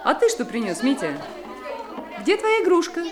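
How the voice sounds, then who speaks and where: Cast: a friendly teacher for a storybook play, played by a woman; bright, high voice over a noisy class. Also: wildly swooping pitch, normal speed, a crowd in the background. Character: calm, friendly female schoolteacher.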